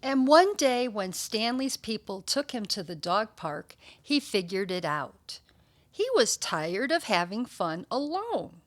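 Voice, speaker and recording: A woman reading a children's picture book aloud, with expressive, deliberate and emphatic storytelling delivery. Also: medium pitch.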